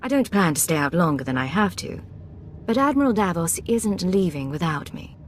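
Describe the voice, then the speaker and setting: A woman's voice: fairly low pitch, very animated, normal speed, slight background noise. A woman playing a cool, assertive Imperial intelligence agent in a video game. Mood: firm, authoritative.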